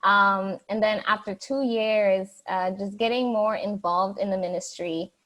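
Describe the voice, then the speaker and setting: A woman's voice: mid-range voice, steady tone, measured pace, fairly bright tone, rough recording. A young woman from Jamaica, answering interview questions in a chatty way, with many fillers and restarts. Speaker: guest.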